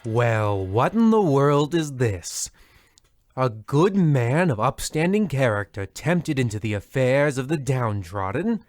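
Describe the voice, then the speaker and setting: A man voicing an ominous, sardonic narrator in a scripted spoof of old horror radio, measured and portentous. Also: medium pitch, sing-song, no echo, voice pushed down.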